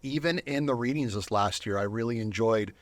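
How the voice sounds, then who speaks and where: Male interview guest, medium pitch, earnest and reflective, conversational delivery, very dry close recording. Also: fairly quick, no echo. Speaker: interview guest, volunteer Bible reader. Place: studio.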